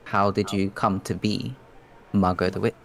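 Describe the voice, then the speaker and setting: Low-voiced man hosting a stream. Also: level tone, moderate pace, vocal fry, background noise. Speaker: host of a livestream. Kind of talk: casual interviewing on a livestream.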